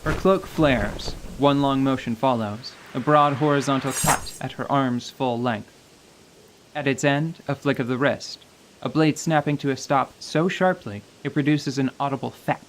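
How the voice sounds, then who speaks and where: A man's voice: mid-range voice, moderate pace, a little hiss or hum, low-quality recording. A man giving dramatic, scripted narration for a fantasy radio play. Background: music.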